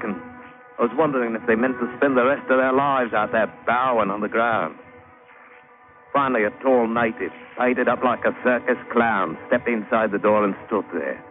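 A man's voice: medium pitch, lightly acted. British sailor played by a man on tinny old radio. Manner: colloquial, dramatic storytelling. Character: plain-spoken British sailor spinning a wry adventure yarn. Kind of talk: 1950s radio drama acting, first-person narration. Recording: thin, hissy old radio broadcast.

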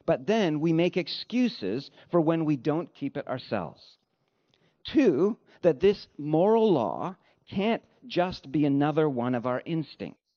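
A man preaching a sermon as a pastor, with an emphatic, measured rhetorical delivery. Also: medium pitch, lo-fi sound. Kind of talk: sermon with read-out quotations.

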